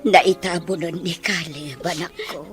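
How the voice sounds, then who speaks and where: Woman acting slow, sorrowful Ilocano grandmother. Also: lowish voice, steady tone, music in the background, natural voice. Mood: mournful. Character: sorrowful grandmother consoling her grieving daughter. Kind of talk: Ilocano radio drama acting.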